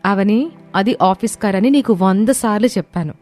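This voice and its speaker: A woman's voice: medium pitch, normal speed, a little mellow, faint music behind, close to an everyday voice. A woman voicing an earnest young working daughter-in-law who defends herself in a pleading, protesting manner in a moral story. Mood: hurt, protesting. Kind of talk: animated moral story dialogue.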